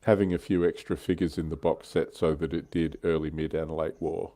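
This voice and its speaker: A man's voice: extremely low pitch, little pitch movement, brisk, warm tone. A man hosting a livestream, chatting casually and jokingly about tabletop wargaming. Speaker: livestream host.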